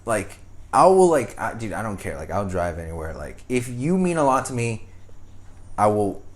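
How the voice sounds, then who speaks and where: A young man co-hosting a casual podcast, animated, swearing casually and laughing often. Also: fairly low pitch, normal speed. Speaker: podcast host. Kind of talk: casual chat.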